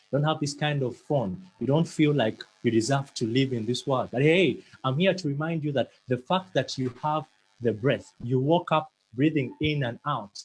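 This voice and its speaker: A man's voice: mid-range voice, a little hiss or hum, poor audio. A man giving a motivational talk, earnest and emphatic, who stammers and repeats words now and then. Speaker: motivational speaker.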